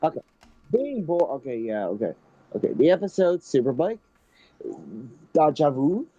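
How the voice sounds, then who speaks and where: A man's voice: a little high, sing-song, moderate pace, dark tone, no echo, dull audio. Stumbling young man reading aloud. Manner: stumbling, restarting read-aloud with laughs. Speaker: host reading a story aloud. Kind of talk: casual storytime reading with commentary.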